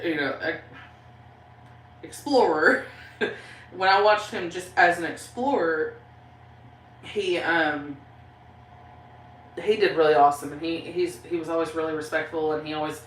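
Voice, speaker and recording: A woman's voice: sits low, a bit bright, reverberant, slight background noise, rough recording. A woman with a Southern Kentucky accent chatting casually on a livestream, rambling with lots of fillers and laughing often. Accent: Southern American (Kentucky). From southeastern Kentucky, USA. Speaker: paranormal channel host reading live chat.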